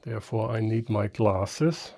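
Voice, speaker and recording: A man talks through a hands-on hobby project in a soft-edged, mellow voice. He takes his time, speaking slowly and hesitantly. The delivery is casual and unscripted, as if he is thinking aloud while he works. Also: on the low side. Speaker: hobbyist host of a restoration video. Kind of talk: casual unscripted how-to commentary while working.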